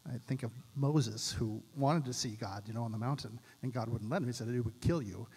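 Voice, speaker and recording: A man introducing a song to a church congregation in a quick, chatty way with many ums. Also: medium pitch, long reverb tail. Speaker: worship leader. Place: church stage, large indoor sanctuary. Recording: band music loud, voice distant.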